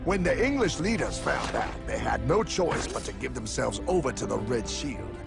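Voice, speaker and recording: A man voicing an excitable, zealous cartoon guide who rants against evil bankers in an emphatic, conspiratorial way. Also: medium pitch, normal speed, vocal fry. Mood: intense storytelling. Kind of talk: animated cartoon dialogue. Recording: dramatic music behind the voice.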